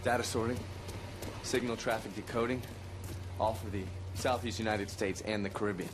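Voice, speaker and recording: Actor as a low-voiced cynical agent. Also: male voice, steady tone, moderate pace, noisy background, close to an everyday voice. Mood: dry, cynical. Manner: dry, cynical. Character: cynical, matter-of-fact male intelligence agent. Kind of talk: video game dialogue.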